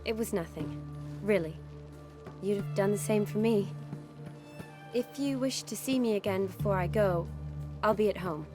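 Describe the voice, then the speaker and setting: A woman's voice: mid-range voice, normal speed, pauses often, music in the background, close to an everyday voice. A woman voicing a plucky, resourceful young female friend in a video game. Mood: warm, modest.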